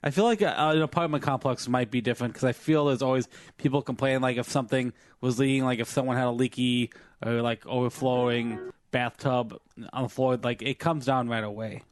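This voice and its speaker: A man co-hosting a casual podcast, his voice slightly hoarse from a night of singing. He rambles through news stories with plenty of ums, likes and restarts. The sound is dry and close, with no room around him. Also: mid-range voice, fairly even intonation, fairly quick, dry recording. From Filipino family background. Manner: rambling, many fillers and restarts. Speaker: podcast co-host. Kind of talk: casual chat reading news.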